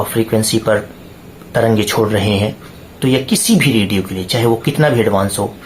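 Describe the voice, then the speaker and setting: An Indian man presents a hobby tutorial at a mid-range pitch, setting out each point in order. His manner is didactic, often asking a question and then answering it. Some ambient noise sits behind his voice.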